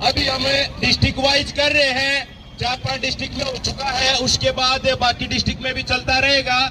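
High, flat-voiced man rousing a protest. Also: a little fast. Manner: urgent, rousing exhortation. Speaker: protest speaker, lawyer. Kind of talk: rally speech. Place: outdoor street protest crowd. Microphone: handheld microphone. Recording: noisy crowd and traffic.

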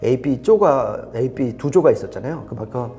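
Animated man explaining audio gear. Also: mid-range voice, a little fast. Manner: explanatory, emphatic, conversational. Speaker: audio equipment reviewer explaining specs. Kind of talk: unscripted technical review talk.

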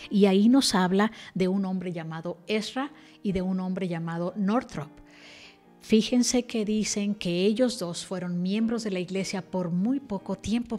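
A woman's voice: fairly low pitch, darkish tone. An older woman you would hear in an online scripture study video, earnestly reflecting from her desk with music playing underneath. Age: older, about 60. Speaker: religious teacher presenting a scripture lesson. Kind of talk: devotional scripture lesson to camera. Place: indoor room, seated at a desk. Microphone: desk microphone. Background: background music.

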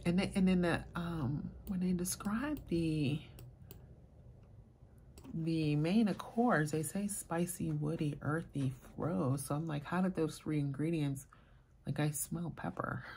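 A soft-spoken woman chatting casually as a vlogger, murmuring her thoughts quietly as she talks. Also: sits low, slow pace, slight background noise. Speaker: fragrance vlogger. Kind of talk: casual chat.

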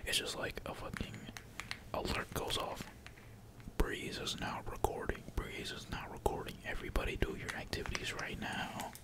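A man whispering slangy, sweary ASMR commentary while playing a video game at home, keys typing behind him. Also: moderate pace. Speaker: gaming ASMR streamer. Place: indoors at home, at a computer. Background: keyboard typing.

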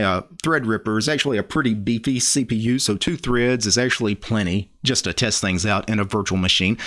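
American man calmly walking through software steps. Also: mid-range voice. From United States. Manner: calm step-by-step instruction, talking viewers through clicks. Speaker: tech YouTuber. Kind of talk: screen-recorded software tutorial. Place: home studio desk. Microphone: large studio mic on boom arm.

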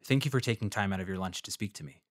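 An actor playing a polite, measured freelance journalist who narrates his investigation in a fiction podcast. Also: fairly low pitch, dry recording, clean studio sound, natural voice. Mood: polite, careful. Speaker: narrator and lead character.

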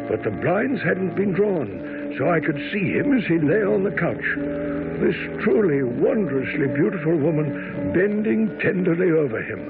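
An actor playing an earnest, loyal gentleman who narrates a radio mystery in a dramatic storytelling manner. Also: high voice. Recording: very muffled old radio broadcast, music.

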